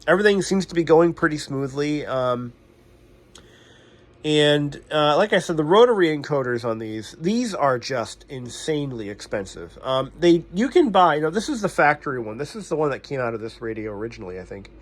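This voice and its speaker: A man's voice: a little high, very animated, normal speed. A man talking casually as a repair technician hosting a vlog, explaining things in an informal, blunt, self-deprecating way. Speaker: radio repair technician and channel host.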